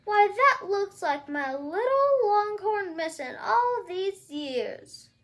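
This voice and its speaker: A woman reads as a feisty ranch mother from a fairy tale. Her high voice moves up and down a lot, folksy and scolding as she protects her own. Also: big pitch swings, slow, fairly bright tone, heavily acted. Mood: astonished.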